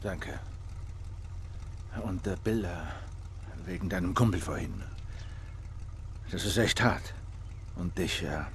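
A man's voice: low-pitched, slow, long pauses. A male actor playing a stern, weary middle-aged survivor in a dubbed video game, terse and no-nonsense. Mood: subdued, weary. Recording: noisy game cutscene soundtrack.

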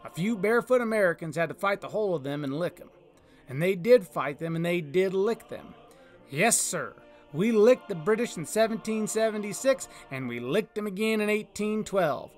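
A man voicing a folksy, patriotic frontier man making a loud, rousing speech to a crowd in a storybook. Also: high-pitched, lively intonation, moderate pace, soft background music. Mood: rousing, defiant. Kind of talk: public speech in a storybook reading.

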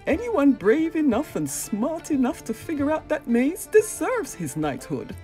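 Jovial storybook king, acted by a woman, grand and proclaiming, raised swooping pitch, faint music. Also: huge pitch swings, a little slow, dark tone, full character voice. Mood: hearty, welcoming. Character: jovial, booming, grateful old-world king.

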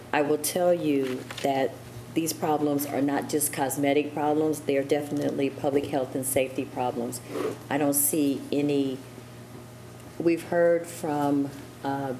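A middle-aged woman alderman making measured, persuasive remarks at a city council meeting, seated at a dais with a desk microphone. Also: low-pitched, mellow, a little hiss or hum, low-quality recording.

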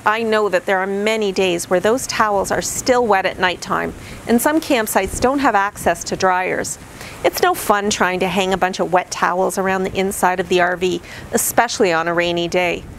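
A middle-aged woman speaks at a medium pitch, reading scripted camping tips to the camera. Her delivery is upbeat and clearly enunciated, like a practiced presenter. She's outdoors beside a camper trailer, with a faint noise in the background. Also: low-quality recording. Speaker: vlogger.

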